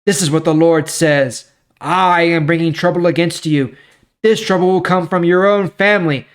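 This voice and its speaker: A man voicing a stern prophet who delivers God's angry judgment in a forceful, accusing dramatic scripture reading. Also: on the high side, moderate pace, clean tone, pitched above the performer's own voice, close to an everyday voice.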